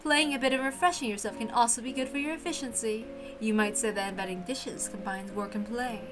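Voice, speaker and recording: A woman's voice: on the high side, a little fast. A woman reading a visual novel aloud as narrator, with music playing behind her. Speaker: reader voicing a visual novel. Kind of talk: animated read-aloud narration.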